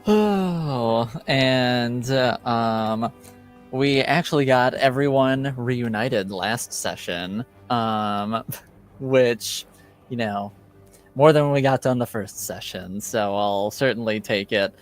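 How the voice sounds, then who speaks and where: A man's voice: medium pitch, slow, faint music behind. A man speaking as game master and host, introducing a tabletop roleplaying show.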